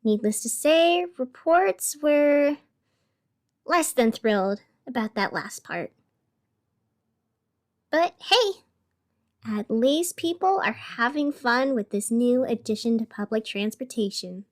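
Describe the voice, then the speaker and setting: An actress playing a wry, wounded young radio host in a scripted audio drama, sardonic and theatrical with deliberate pauses. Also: female voice, a little high, lively intonation. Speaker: fictional radio show host.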